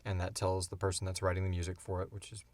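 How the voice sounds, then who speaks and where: A man in his thirties with a fairly low voice, recorded dry. He talks as a podcast host in an informal chat, telling stories in an animated, loose way and acting out bits as he goes. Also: fast, no room sound. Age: 30s. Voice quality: wheezy breathing. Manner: animated storyteller, acts out sounds and swears casually. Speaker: podcast host and audio drama creator. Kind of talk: casual chat answering listener questions.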